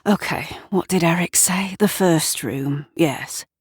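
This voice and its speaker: A woman's voice: on the low side, slow, slightly rough, no echo, studio quality, exaggerated voice. A woman voicing a flustered, easily startled man in a storybook, muttering and exclaiming to himself. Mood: muttering, puzzled. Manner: muttering, exclaiming, talking to himself and his dog.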